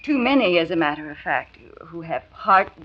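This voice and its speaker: Young secretary, polite and caring, fairly low female voice; 1940s radio acting on a muffled old transcription. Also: animated, normal speed. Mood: earnest. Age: young adult. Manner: polite, earnest, sympathetic. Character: earnest, kindly young secretary.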